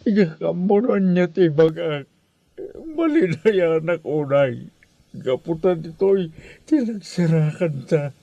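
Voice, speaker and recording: A man playing an emotional elderly father in an Ilocano radio drama, with an Ilocano Filipino accent and a halting, sighing delivery. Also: a little high, gravelly, dark tone, dry recording, dull audio. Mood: tender, sorrowful.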